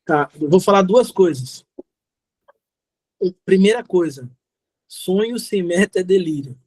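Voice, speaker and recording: A middle-aged man with a Brazilian accent and a rather high voice, recorded dry and close. He talks like a motivational guest speaker, informal and direct, driving key phrases home by repeating them. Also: animated, measured pace, darkish tone, dry recording. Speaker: guest speaker, social entrepreneur. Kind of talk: motivational talk answering audience questions.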